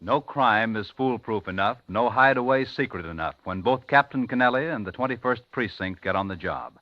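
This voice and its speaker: A male announcer reads a scripted promo in 1950s radio style. His voice is fairly low, on a dry but muffled vintage recording. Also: expressive, normal speed.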